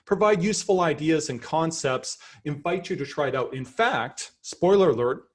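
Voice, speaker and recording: Chatty Canadian man giving a lecture. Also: fairly high pitch. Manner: chatty, self-deprecating, enthusiastic, with frequent restarts. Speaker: professor giving a seminar lecture. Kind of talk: lively seminar lecture.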